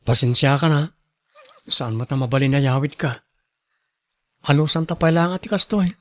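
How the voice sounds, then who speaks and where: Man acting an earnest young boyfriend, lightly high voice. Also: normal speed, close to an everyday voice. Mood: concerned, apologetic. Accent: Filipino. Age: young adult. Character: earnest young male student, apologetic, responsible boyfriend. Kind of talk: radio drama acting.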